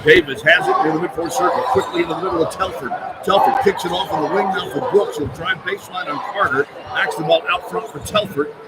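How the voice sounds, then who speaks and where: A man's voice: high-pitched, steady tone, fairly quick, hardly any pauses. American radio basketball announcer, man, roaring crowd. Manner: clipped, running description of the action. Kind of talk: live radio sports commentary. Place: large indoor basketball arena. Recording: live arena broadcast with loud crowd noise. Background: loud crowd and arena noise.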